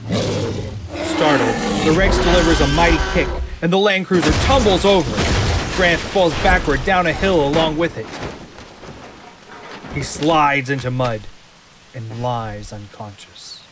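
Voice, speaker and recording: A man giving a scripted, measured, dramatic narration of action scenes in the present tense. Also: fairly high pitch, background noise.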